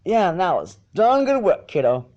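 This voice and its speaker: A man's voice: high voice, very animated, measured pace, a little mellow, dull audio, voice pushed up. A man voicing a blunt, teasing, affectionate female farm pony. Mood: praising. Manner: instructive, frank, folksy.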